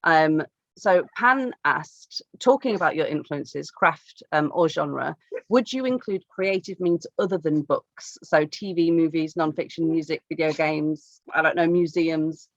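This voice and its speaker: A woman's voice: low-pitched, unhurried, no room sound. A woman co-hosting a podcast from home, reading listener questions and teasing her co-host in wry, chatty talk. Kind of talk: casual chat. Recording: dull, dry remote call audio.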